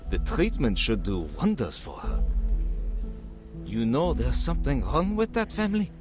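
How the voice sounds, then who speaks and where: A man voicing a gossipy, conspiratorial spectator in a video game. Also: sits high. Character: gossiping male spectator at a society event. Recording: loud music behind the voice.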